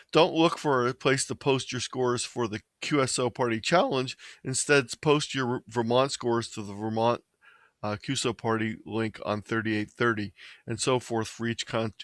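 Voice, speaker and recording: An American man presents a tutorial in a plain, step-by-step manner. His voice sits at an average pitch for a man. The recording is dry, with very little room sound. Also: a bit bright, no room sound. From Ohio, United States. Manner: plain, methodical explaining. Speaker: presenter of an amateur radio tutorial. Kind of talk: instructional slideshow presentation.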